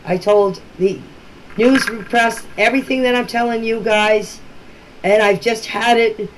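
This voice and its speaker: A woman's voice: a little high, fairly even intonation, unhurried. Older woman, noisy voice message. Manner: plain-spoken, emphatic, repeats herself. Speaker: relative speaking about a missing-children case. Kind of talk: informal personal voice-message talk. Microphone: phone voice message. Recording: noisy amateur voice-message recording.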